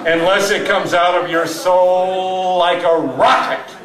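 Guy in his 60s reading poems in a bar, slow n wry, roomy distant mic, crowd around. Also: sits high, steady tone. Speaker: poetry reader at an open mic. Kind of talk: live poetry reading with casual asides. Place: small tavern stage indoors. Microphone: stand microphone, captured from far camera. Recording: roomy live tavern, distant mic, audience noise.

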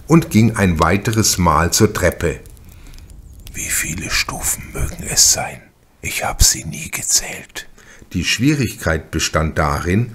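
Man, low voice, scripted literary narration, measured, deliberate, crime audiobook.

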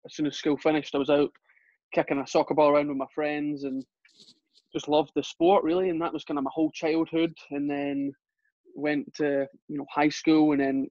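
Young Scottish guest, even delivery, dry lo-fi sound. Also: male voice, on the high side, moderate pace, no echo. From Scotland. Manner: relaxed, with frequent fillers and restarts. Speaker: guest, soccer coach. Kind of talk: casual interview chat. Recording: dull, lo-fi sound.

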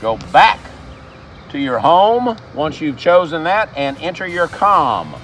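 A man's voice: a little high, sing-song, takes it slowly, fairly bright tone, soft background music. A man in his fifties presenting a plain-spoken, step-by-step how-to as a service advisor, recorded outdoors. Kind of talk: instructional how-to demonstration. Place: outdoors in a dealership parking lot.

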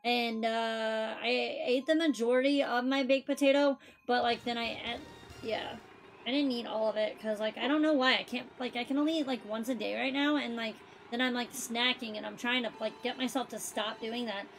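Woman, 30s, high-pitched streamer host, chatty and loose, heavy on "like". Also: fairly even intonation, moderate pace, faint music behind. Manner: chatty, fills speech with "like". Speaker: streamer hosting a game stream. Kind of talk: casual chat while gaming.

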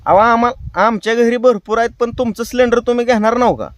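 A man's voice: extremely high pitch, fairly even intonation, a little fast. A man with a rural Maharashtra Marathi accent, hosting prank calls and chatting casually to his viewers with colloquial tag phrases. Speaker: prank call show host.

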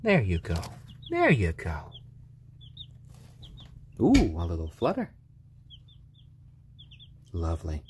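A man with a fairly low, slightly hoarse voice chats casually on a home live stream. His pitch moves around a great deal as he drifts between halting explanation and gentle pet talk. There is clear noise behind him, with birds sounding. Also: huge pitch swings. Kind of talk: casual chat on a live stream, with cooing to pets. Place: room in a home, indoors.

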